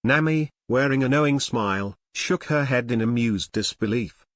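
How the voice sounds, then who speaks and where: A man's voice: mid-range voice, normal speed, a bit bright, no echo, clean studio sound. A man delivering scripted story narration for an audio drama.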